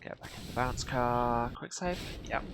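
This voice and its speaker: A man's voice: mid-range voice, measured pace, very noisy. A man giving casual video game playthrough commentary in a dry, sardonic, self-deprecating manner.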